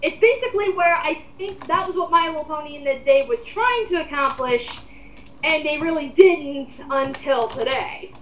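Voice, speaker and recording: A woman's voice: sits high, moderate pace, echoey room, slight background noise, poor audio. A woman, giving blunt, rambling, emphatic opinions in a casual group discussion that she leads. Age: 20s. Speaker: host leading a group discussion. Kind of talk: casual opinionated commentary chat.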